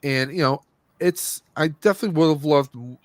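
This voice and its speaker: A man's voice: fairly high pitch, brisk, no echo. Blunt man chatting on a movie podcast, dry sound. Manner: opinionated, blunt, rambling. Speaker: podcast host. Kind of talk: casual movie review chat.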